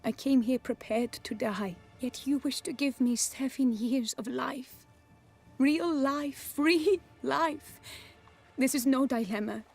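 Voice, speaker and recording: A woman playing a noble lady cursed to turn bird, speaking in a formal, measured, poetic way with resigned dignity in a fantasy game. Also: high voice, creaky, a little mellow, slightly rough, soft background music, exaggerated voice. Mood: resigned, wistful.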